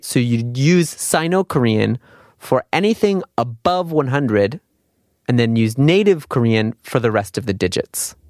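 A man's voice: mid-range voice, expressive, a little slow, darkish tone, dry recording, clean studio sound. A man teaching an informal language lesson in a conversational, explanatory way, putting questions to the listener as he goes. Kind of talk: informal spoken lesson with examples and quiz questions.

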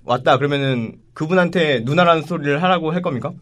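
A man's voice: a little high, fast. A man hosting a panel debate, posing questions to the two guests and teasing them playfully. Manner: playful, poses questions and teases. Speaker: moderator.

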